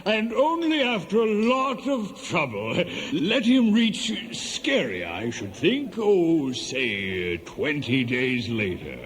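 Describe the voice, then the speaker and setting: Slow, swooping, dithering old god king. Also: on the high side, very animated, fairly bright tone, exaggerated voice. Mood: genial, dithering. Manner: dithering, hesitant, halting. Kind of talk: 1970s radio play acting.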